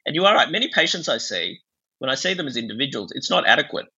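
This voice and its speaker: Bright, gravelly man explaining ideas. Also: medium pitch, very animated, quick pace, highs cut off. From Bangladesh. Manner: discursive, explanatory, intellectual. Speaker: psychiatrist and author, interview guest. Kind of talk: thoughtful interview discussion.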